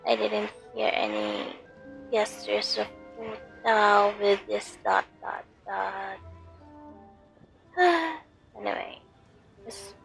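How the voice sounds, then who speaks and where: A woman from the Philippines, commenting softly over a game at home late at night, using a headphone mic, with lots of fillers. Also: vocal fry, faint music behind, rough recording. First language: Tagalog. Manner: soft, lowered voice, slow, many fillers. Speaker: game streamer. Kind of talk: casual commentary over gameplay.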